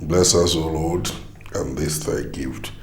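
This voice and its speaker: A man's voice: very deep voice, slow pace, reverberant, background noise. An actor playing a stern, devout middle-aged father who lectures his family. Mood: prayerful.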